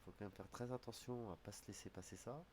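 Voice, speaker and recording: A man's voice: on the low side, fairly even intonation, fast, darkish tone, slight background noise. A man with a slight head cold who streams his chess games, muttering his calculations aloud in half-finished phrases. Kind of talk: thinking aloud while playing online chess. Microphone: streaming desk mic.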